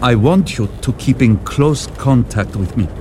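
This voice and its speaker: An actor playing a grave, authoritative bishop who gives measured instructions in an audio drama, with music beneath. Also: male voice, mid-range voice, darkish tone, slight background noise, lightly acted.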